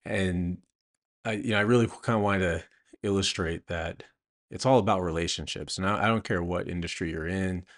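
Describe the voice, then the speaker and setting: A man answering interview questions conversationally as an author and host, thinking aloud haltingly with many a "you know". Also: deep voice.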